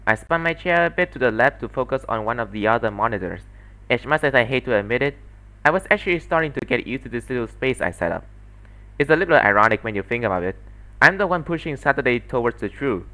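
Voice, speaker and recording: A man's voice: mid-range voice, pushed and bright, low-quality recording. A man voicing a solitary, scheming young woman who muses to herself in a visual novel monologue. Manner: musing to herself.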